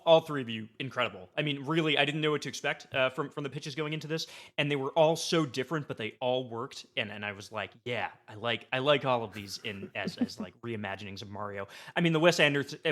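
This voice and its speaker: A man chatting casually as a podcast guest, effusive and animated, stumbling over his words with enthusiasm. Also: mid-range voice, fast talker, few pauses.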